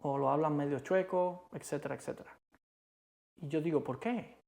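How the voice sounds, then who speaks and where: A man from Cuba with a Cuban Spanish accent, co-hosting a casual chat in an emphatic, animated way. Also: a little high, moderate pace, creaky. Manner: emphatic, animated, repeats rhetorical questions. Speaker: co-host.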